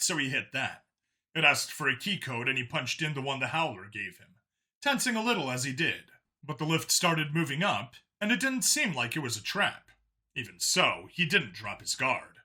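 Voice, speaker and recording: A man's voice: on the high side, pushed and bright. A man reading science fiction as a dramatic scripted narration. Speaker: story narrator.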